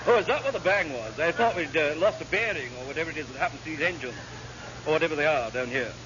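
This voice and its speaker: A man portrays a chatty, hopelessly vague British naval engineer in vintage radio comedy. The delivery is quick and highish, full of courteous waffle. The recording is muffled and very noisy. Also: animated, close to an everyday voice. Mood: blithe, chatty. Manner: rambling, over-polite, blithely vague. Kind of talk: classic radio sitcom acting.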